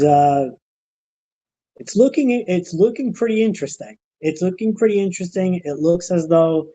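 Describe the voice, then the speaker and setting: A man co-hosting a casual talk, speaking tentatively with hedges and halting restarts. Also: on the high side, mellow, poor audio. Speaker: co-host.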